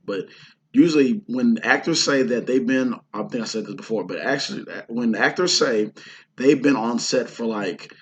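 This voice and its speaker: A hoarse man from the Houston area chatting casually about his indie film shoot, rambling with lots of fillers and restarts. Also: mid-range voice. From Houston, Texas area. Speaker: indie filmmaker and actor. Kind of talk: casual vlog talk.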